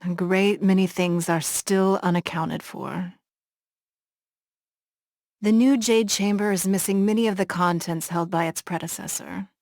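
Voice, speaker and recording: A woman voicing a poised, shrewd noblewoman who leads a harbor city, speaking in a measured, formal and composed way in a game cutscene. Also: on the low side, vocal fry, a little mellow, no room sound, studio quality. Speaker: game character.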